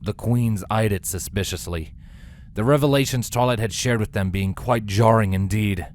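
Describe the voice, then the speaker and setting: A man's voice: on the low side, normal speed, a little hiss or hum. A man reading a scripted story as a dramatic narrator. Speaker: fan fiction narrator.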